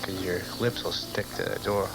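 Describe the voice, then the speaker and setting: A man's voice: low-pitched, steady tone, moderate pace, music in the background. A man playing a tipsy, goofy young beer drinker who rambles and brags, in a comic interview sketch. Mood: rambling, sincere. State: drunk. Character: tipsy goofy young beer-chugging guy bragging about stunts.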